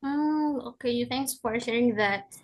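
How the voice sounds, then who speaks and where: A young Indonesian woman, a student, asking interview questions in English in a halting, hesitant way. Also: on the high side. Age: young adult.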